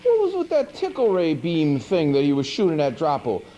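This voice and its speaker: A man's voice: on the high side, huge pitch swings, normal speed, mellow, lo-fi sound. A man playing a goofy monster who hosts a horror movie show, rambling in slangy, exasperated comic commentary. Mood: riled, rambling. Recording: noisy 1980s VHS TV tape.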